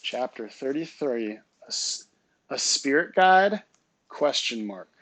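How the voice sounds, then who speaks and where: Male read-aloud narrator, expressive and dramatic, middling pitch, close dry sound. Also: slow, dry recording. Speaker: teacher reading aloud. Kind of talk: children's novel read-aloud.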